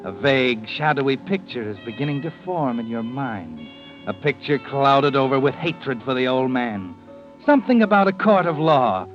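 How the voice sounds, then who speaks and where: A man's voice: mid-range voice, big pitch swings, lightly acted. An actor plays a sardonic, ominous storyteller of vintage radio suspense. His intonation sweeps expressively over prominent music. The recording is muffled and old. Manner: ominous, insinuating, deliberate phrasing. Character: sardonic, knowing, omniscient mystery narrator. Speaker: narrator. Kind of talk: 1940s radio suspense narration in second person.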